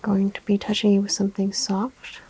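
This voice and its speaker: A woman doing a soft-spoken ASMR medical exam roleplay, giving gentle instructions and often dropping into a whisper. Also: mid-range voice, completely flat delivery, fairly quick, slight background noise. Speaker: ASMR performer role-playing a medical examiner.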